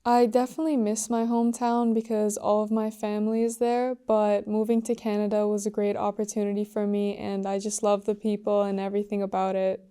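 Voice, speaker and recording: A teenage girl from Iran answering interview questions in an earnest, soft-spoken way, with frequent "like" and "um" fillers. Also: medium pitch, level tone, brisk, hardly any pauses, clear, resonant tone. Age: teens.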